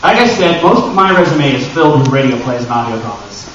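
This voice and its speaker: A man giving an informal, joking panel talk to a live audience, animated and given to acting out little imagined exchanges. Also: medium pitch, fast talker. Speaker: convention panel presenter and amateur voice actor. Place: indoor convention panel room. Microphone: camera microphone at a distance. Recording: echoey room, distant mic, dull noisy audio.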